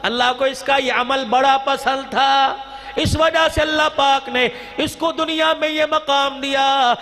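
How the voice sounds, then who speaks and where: A man's voice: very high-pitched, steady tone, sharp tone. A man preaching an impassioned Islamic sermon, declaiming and drawing phrases out into a chant. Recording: long hall reverb, music-like sound behind.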